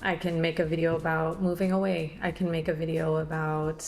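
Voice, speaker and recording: A woman's voice: low-pitched, flat delivery, a little fast. A woman in her thirties, a guest in a casual chat at home, speaking in a thoughtful, reflective way with hedges. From United States. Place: living room at home.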